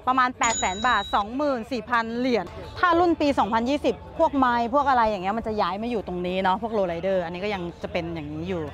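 A young woman vlogging casually at an outdoor motorcycle swap meet, chatty and excited, talking into a handheld camera. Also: on the high side. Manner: chatty, excited, switching between Thai and English. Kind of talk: casual vlog chat.

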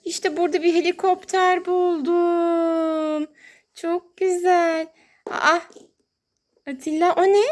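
A woman's voice: very high-pitched, flat delivery, takes it slowly. A woman hosting toy play for young children as a mother, talking in a sing-song, exclaiming way and drawing out her words.

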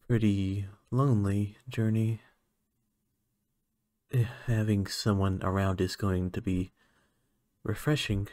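Man playing a slow, hesitant alien scientist. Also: lowish voice, darkish tone, no room sound, clean studio sound. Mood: shyly pleased. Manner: hesitant, musing, halting. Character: lonely, heartbroken male alien scientist, hesitant and earnest. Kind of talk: ASMR roleplay monologue.

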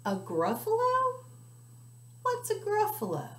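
A jittery storybook owl , as a woman puts it on, pitched far above her own voice with wild, swooping hoots. The owl sounds shrill and easily rattled. The reading is caught in an echoey room. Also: wildly swooping pitch, normal speed, mellow, heavily acted. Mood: hooting, curious. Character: hooting, easily startled owl.

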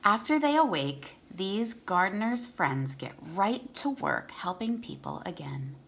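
A woman's voice: mid-range voice, sing-song, unhurried, vocal fry, a bit bright. A woman, reading aloud to children as a teacher and chatting casually between passages. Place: room at home.

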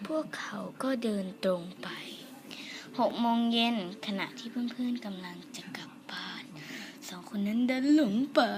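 A woman's voice: fairly high pitch, measured pace, noisy background. A woman telling a story casually to viewers, addressing them politely and directly as host. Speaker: host reading a homemade comic.

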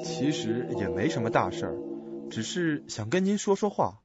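Man acting young male investigator, earnest, uneasy, lively intonation, dull recording, suspense audio drama. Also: medium pitch, normal speed, over loud music, close to an everyday voice. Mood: earnest, subdued.

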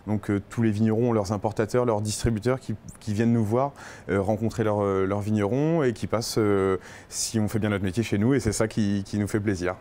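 A man's voice: on the low side, steady tone, fairly quick, dark tone, slight background noise. A man in his thirties from Burgundy, answering interview questions informally and a little hesitantly, repeating words. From Burgundy, France. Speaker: restaurateur and wine merchant interviewed. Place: indoors in a restaurant and wine shop.

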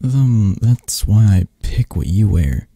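A possessive yet tender mafia boss, acted by a man for an ASMR romance roleplay, murmurs intimately and teasingly. The delivery is slow and halting, with a husky edge and a close, dry sound. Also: fairly low pitch, animated, dark tone, dry recording, full character voice. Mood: relaxed, possessive.

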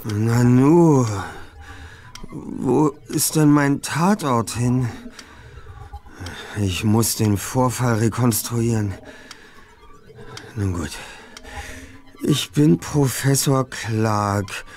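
A man's voice: medium pitch, very slow, pauses often, a little mellow. A man playing a brainy, self-assured teenage boy detective in an adventure game, with precise, formal diction. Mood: woozy, dazed. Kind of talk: German adventure game voice acting.

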